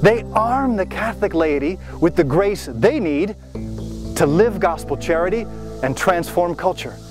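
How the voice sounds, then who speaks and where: A man giving solemn, measured scripted narration for a promotional video. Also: high voice, animated. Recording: music underneath.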